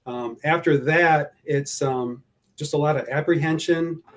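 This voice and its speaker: A man being interviewed about his anxiety, speaking hesitantly with frequent pauses and fillers. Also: fairly high pitch, steady tone. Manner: hesitant, many fillers and restarts. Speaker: guest describing his driving anxiety. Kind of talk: personal account in an interview.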